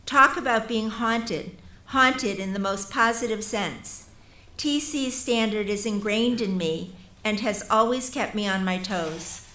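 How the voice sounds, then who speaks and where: A woman's voice: fairly high pitch, sharp tone. A woman giving a formal, prepared acceptance speech as a professor at a ceremony. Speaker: professor accepting an award on another's behalf. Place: large ceremony hall. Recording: echoey hall, faint noise.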